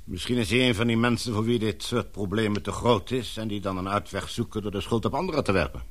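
Casting: man acting a concerned radio-drama dad, husky lowish voice, expressive, paternal and probing. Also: fast talker, lightly acted. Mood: puzzled, probing. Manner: paternal, coaxing, questioning. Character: concerned, soothing father in a position of authority.

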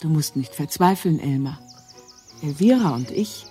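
An actress playing a naive, pious and gently dogged lady in a radio crime drama, speaking in an earnest, hesitant way. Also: low-pitched, lively intonation, a little slow, breathy edge, faint music behind, exaggerated voice. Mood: gentle, resolute.

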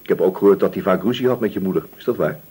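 An actor playing a male police inspector in a radio drama, questioning patiently but insistently with a slightly husky voice and deliberate pauses. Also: sits low, mellow. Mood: pressing. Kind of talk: Dutch radio drama interrogation acting.

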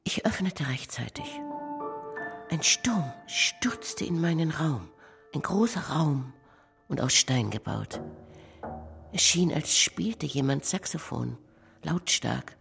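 Contemplative radio-play narrator: very low, gravelly voice, solemn poetic recitation over music. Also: female voice, expressive, slow pace, long pauses, a bit bright, full character voice.

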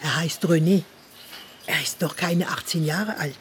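A woman's voice: low-pitched, measured pace, background noise. An actress playing a worried, earnest Alsatian village neighbour in a German radio play, slipping French words into her German. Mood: pleading.

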